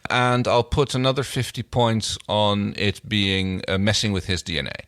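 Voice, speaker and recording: A man's voice: on the low side, fairly even intonation, normal speed, fairly bright tone, no room sound, studio quality. A man chatting casually as a podcast co-host about a TV show, hesitant and often rephrasing mid-sentence. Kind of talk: casual TV episode discussion.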